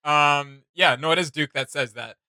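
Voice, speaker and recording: A man chatting casually as host of a reaction video, in an animated, enthusiastic manner. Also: a little high, moderate pace. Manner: animated, enthusiastic, laughs readily.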